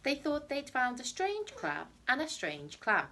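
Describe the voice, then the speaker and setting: Slow, very animated woman reading a storybook. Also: sits high, slight background noise. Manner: dramatic, deliberate storytelling with emphatic repetition and hushed phrases. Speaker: teacher reading a story aloud to pupils. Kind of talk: expressive children's storybook reading.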